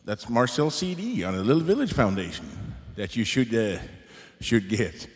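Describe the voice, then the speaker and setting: A man's voice: medium pitch, very animated, moderate pace, husky, dry recording. A man from Norway with a Norwegian accent, hosting a live music show from a home studio in a rambling, hesitant, joking way. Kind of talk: casual livestream chat.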